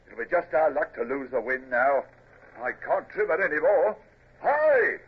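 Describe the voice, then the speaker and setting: An actor playing a hearty, blunt naval officer in an old radio adventure drama. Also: male voice, on the high side, lively intonation, normal speed, husky. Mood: tense. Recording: dull, noisy old radio drama recording.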